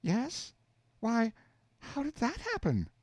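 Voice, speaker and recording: A man voicing a chattering housewife who exclaims loudly into a telephone. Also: very high-pitched, wildly swooping pitch, slow pace, breathy edge, no room sound, pitched above the performer's own voice, full character voice. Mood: exclaiming. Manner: shouting into the phone, exclaiming.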